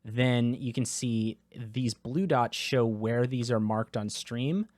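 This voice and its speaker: Male tech reviewer, mid-pitched, relaxed explainer with fillers, dry close-mic sound. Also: slow pace, dry recording. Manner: casual, explanatory, with filler words. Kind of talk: product review walkthrough. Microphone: dynamic USB microphone, close.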